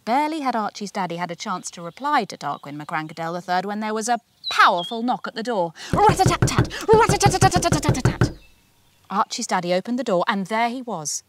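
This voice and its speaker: A woman reading her own children's storybook aloud in an expressive, deliberate storyteller's manner with dramatic emphasis. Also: mid-range voice, slight background noise.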